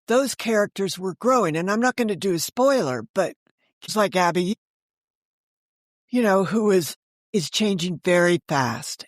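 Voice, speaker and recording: Older female interview guest, lowish voice, dry sound, self-correcting raconteur. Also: no room sound. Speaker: guest, author and editor. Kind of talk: conversational interview storytelling.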